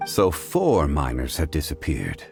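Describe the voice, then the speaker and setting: An actor playing a calm, erudite investigator in a video game, speaking in a measured, formal, dignified manner. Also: male voice, a bass voice, vocal fry, over music, exaggerated voice. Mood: composed.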